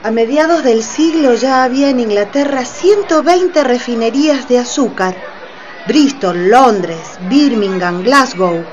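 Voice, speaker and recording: Fairly high female narrator, crowd behind. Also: muffled recording. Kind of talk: scripted radio play narration.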